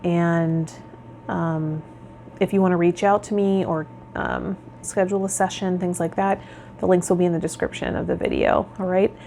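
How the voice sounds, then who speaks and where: Low-pitched woman, tarot vlogger, noisy recording. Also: fairly even intonation, moderate pace, warm tone. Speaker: host of a tarot and spiritual healing channel. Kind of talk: tarot vlog, part read-out guidance, part informal talk.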